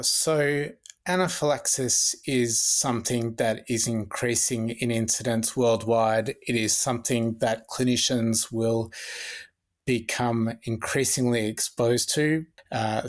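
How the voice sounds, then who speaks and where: An Australian man in his forties, an emergency physician speaking as a guest in a podcast interview, explaining in a measured, methodical way with frequent restarts. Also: medium pitch.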